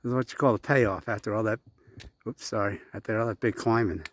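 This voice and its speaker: A man casually narrating his hike to a handheld camera outdoors on a mountain trail, chatty and unhurried, winded at times from the climb. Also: lowish voice. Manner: chatty, unhurried, reads signs aloud. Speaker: hiking vlogger.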